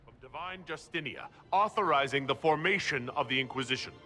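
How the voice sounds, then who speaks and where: An actor playing a defiant, weary leader of a fantasy order in a video game, with earnest, emphatic delivery. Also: male voice, medium pitch, lively intonation, brisk, pushed and bright. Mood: resolute. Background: music.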